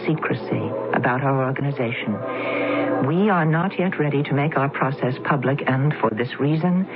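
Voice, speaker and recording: An actor in a 1970s radio drama playing a man who reads a letter aloud and thinks it over in a deliberate, musing way. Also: mid-range voice, natural voice. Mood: reading. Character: man reading a mysterious letter aloud, puzzled. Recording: tinny, phone-like old radio broadcast with loud music.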